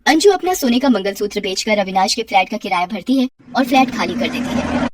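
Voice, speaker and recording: A woman's voice: medium pitch, expressive, fairly quick, background noise. A woman reading a scripted children's story with dramatic delivery. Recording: roomy echo, distant from mic.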